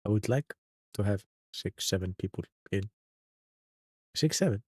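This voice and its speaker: A man's voice: lowish voice, lively intonation, unhurried, no echo, clean studio sound. A man from Iceland with an Icelandic accent, a native Icelandic speaker, chatting casually on a live stream, reading out and greeting viewers one by one. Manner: reads chat aloud and greets viewers one by one.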